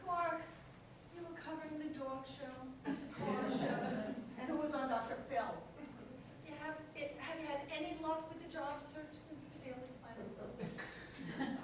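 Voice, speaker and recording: Distant in a reverberant hall, high female voice, woman acting practical nagging companion, dry, scolding, stage comedy reading. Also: a little slow. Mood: dry correcting. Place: echoey room at a live staged reading. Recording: very echoey hall from afar, clear noise.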